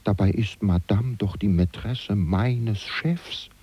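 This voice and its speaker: A man's voice: fairly low pitch, takes it slowly, breathy edge, no echo. An actor playing a sly servant of a powerful statesman, narrating a German radio play in a wry, confiding way. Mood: sly, hushed. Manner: wry, confiding, hushed at times.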